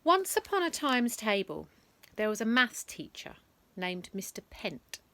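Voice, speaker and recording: Lady reading a kids' bedtime story, bright voice, takes her time, hams it up. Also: a little high, measured pace, no room sound. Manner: lively, dramatic storytelling with big emphasis and asides. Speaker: children's storyteller.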